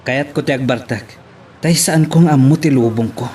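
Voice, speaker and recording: Man acting brooding young man, medium-pitched. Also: normal speed, a little mellow, natural voice. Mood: bitter, frustrated. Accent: Filipino, Ilocano. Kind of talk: Ilocano audio drama acting. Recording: faint background noise.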